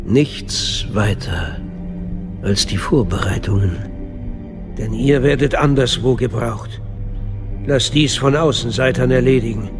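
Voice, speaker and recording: Slow scheming sith master guy acting it, calm n commanding, imperious, music behind him, audio drama. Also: mid-range voice, natural voice. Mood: quietly scheming. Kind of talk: German science-fiction radio drama acting.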